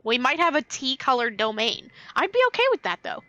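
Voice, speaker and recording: Bright, playful woman streamer. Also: high-pitched, lively intonation, a little fast, dry recording, muffled recording. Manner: playful, chatty, giggly. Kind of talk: casual live-stream chat while playing.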